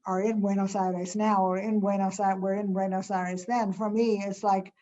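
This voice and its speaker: A woman's voice: medium pitch, level tone, normal speed, mellow, highs cut off. A woman from the United States moderating a webinar in a chatty way, over a video call. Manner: chatty, with restarts.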